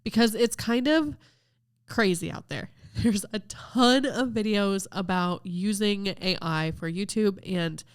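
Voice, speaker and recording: A woman talking in a relaxed, upbeat way as she hosts a podcast. She sounds a bit stuffed up from a cold, but her voice stays smooth and clear. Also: medium pitch, slow. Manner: chatty, upbeat, lively recommending tone. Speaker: podcast host. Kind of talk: casual video review talk.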